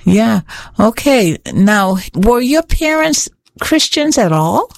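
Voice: mid-range voice, sing-song, slow pace, gravelly, no room sound. An interviewer asking questions and offering frequent short affirmations.

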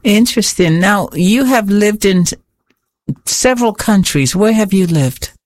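Slow talking interviewer, keeps saying yes yes, dry close sound. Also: medium pitch, animated, dry recording. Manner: frequent short affirmations. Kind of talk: interview questions.

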